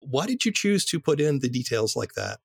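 A man's voice: medium pitch, big pitch swings, brisk, gravelly. A man hosting a podcast interview in a conversational style.

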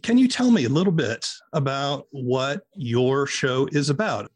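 A man hosting a podcast, reading a scripted introduction in a measured narrating style. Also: mid-range voice, animated, slightly rough. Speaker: podcast host.

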